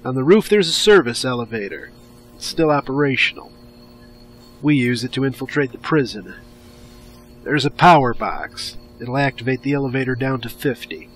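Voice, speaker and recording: An actor in an audio drama playing a hard, authoritative government official who briefs in clipped, short sentences. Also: male voice, mid-range voice, lively intonation, normal speed, lightly acted, poor audio. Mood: brisk, businesslike.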